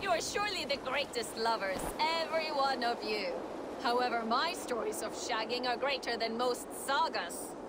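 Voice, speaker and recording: A woman's voice: fairly high pitch, expressive, moderate pace, close to an everyday voice. An actress playing a bawdy, bragging Viking woman, telling tales playfully and grandly in a video game. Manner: brash, playful, declamatory. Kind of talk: spoken storytelling in a video game. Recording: noisy boat and water ambience.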